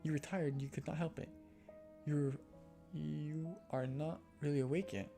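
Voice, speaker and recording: A streamer voicing a kind, well-bred little schoolgirl with a gentle, reassuring manner in a visual novel. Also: mid-range voice, fairly even intonation, a little slow, gravelly, faint music behind.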